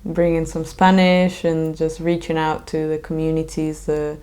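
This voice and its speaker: A woman's voice: deep voice, completely flat delivery, normal speed, darkish tone. A woman from Colombia co-hosting a podcast, talking in a reflective, agreeable way. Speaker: podcast co-host. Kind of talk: casual chat.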